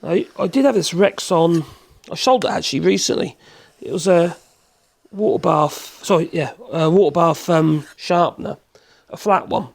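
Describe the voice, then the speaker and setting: Hoarse man, gravelly. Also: fairly high pitch, normal speed. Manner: rambling, chatty, self-correcting, chuckles. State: hoarse with a cold. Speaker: woodworking channel host. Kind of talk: casual workshop demonstration. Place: workshop.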